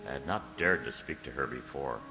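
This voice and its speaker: A man acting as an anxious, romantic captive in a radio play. His very deep voice has a dramatic diary-like delivery. It resounds in an echoey hall, with music behind. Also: brisk. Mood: brooding, anxious. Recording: echoey hall, long reverb.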